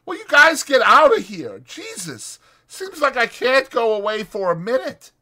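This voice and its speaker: A man voicing a bossy, exasperated ranch worker with a sharp scolding manner in a dramatized audiobook. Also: extremely high pitch, wildly swooping pitch, unhurried, voice pushed up, full character voice.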